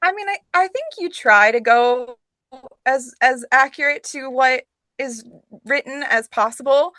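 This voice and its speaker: A woman speaking as an actress guest in a casual interview, with an animated, emphatic manner. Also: sits high, a little slow, no room sound.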